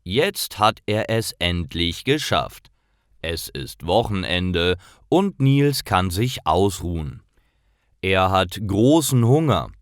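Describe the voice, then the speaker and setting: A man with a lowish voice reads a simple learner's story slowly and deliberately. His intonation moves a lot, each word is carefully enunciated, and the sound is dry and close. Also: no echo. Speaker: narrator of German listening lessons for learners. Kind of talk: slow, clear scripted story narration for beginners.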